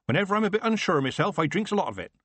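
A man voicing a cheeky old village witch woman, folksy and teasing, his voice pushed up high. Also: very animated, racing, fairly bright tone, husky, no echo, full character voice.

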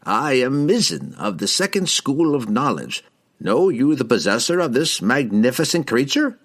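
A man voicing an excitable, fussy old wizard scholar. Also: mid-range voice, normal speed, voice pushed up. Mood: eager.

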